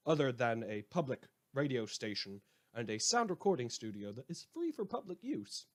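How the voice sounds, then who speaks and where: A man's voice: medium pitch, very animated, normal speed, lightly acted. A man playing a sardonic, theatrical radio host. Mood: grandly announcing. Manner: sarcastic, rambling, mock-grandiose, hesitant repetitions. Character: sardonic, theatrical male radio host turned self-appointed vigilante lawman. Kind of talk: scripted fictional radio monologue.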